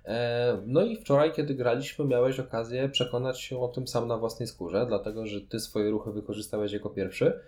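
A man's voice: mid-range voice, fairly even intonation, normal speed, few pauses, darkish tone. A man talking as a podcast host, reviewing board games conversationally in long, explanatory sentences.

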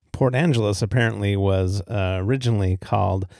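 Mellow, low-voiced American man hosting a podcast. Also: normal speed, creaky, dry recording, clean studio sound. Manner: easygoing, chuckles at own remarks. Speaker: podcast host. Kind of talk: casual solo storytelling podcast.

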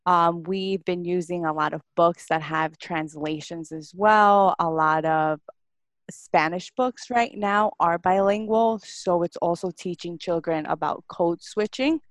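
A woman from Ecuador, a speech-language pathologist, answering interview questions in a measured, explanatory way. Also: on the low side, dry recording.

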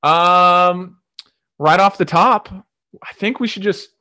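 A man hosts a podcast in a relaxed, unscripted way. He rambles and restarts his sentences often, and his voice sits a little higher than most men's. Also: slow. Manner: loose, rambling, frequent fillers and restarts. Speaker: podcast host. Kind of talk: casual podcast chat.

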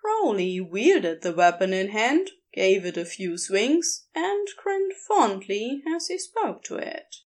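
A woman narrating a written story in an expressive, unhurried storytelling manner. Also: medium pitch. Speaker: podfic narrator.

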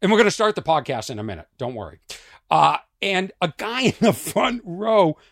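A man from Massachusetts hosting a podcast and telling comic stories about himself in a loose, animated way. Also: high-pitched, moderate pace, dry recording, studio quality. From Lexington, Massachusetts. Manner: animated, self-mocking storyteller. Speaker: podcast host. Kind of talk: casual comedic chat.

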